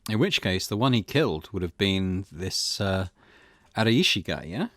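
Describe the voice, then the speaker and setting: A man hosting a gaming playthrough, conversational in his commentary and expressive when reading the story text aloud. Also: low voice, moderate pace, dry recording. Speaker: gaming channel host.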